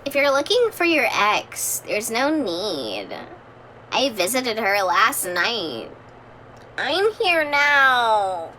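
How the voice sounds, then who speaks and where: A woman's voice: on the high side, big pitch swings, extremely slow, full character voice. An actress playing a smug, menacing young woman taunting someone over the phone, in a very noisy recording. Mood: smug menace.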